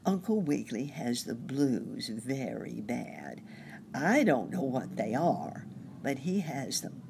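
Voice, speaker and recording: A woman's voice: extremely low pitch, very animated, slow, creaky, darkish tone, a little hiss or hum, exaggerated voice. A woman voicing a caring muskrat lady nurse in a storybook, fussing in an anxious, earnest, sympathetic way.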